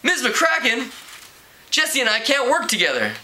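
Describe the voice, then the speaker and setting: A man's voice: very high voice, wildly swooping pitch, moderate pace, pushed and bright, pitched above the performer's own voice, full character voice. A man voicing an earnest, indignant teenage schoolgirl with a pointed way of talking, reading from a storybook. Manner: pointed, honest.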